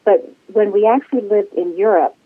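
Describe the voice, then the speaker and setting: Sixties woman, bubbly guest, mid-pitch, thin phone-line sound. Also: moderate pace, slightly rough. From United States. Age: 60s. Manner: bubbly, laughs often. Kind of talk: casual interview. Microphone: phone call.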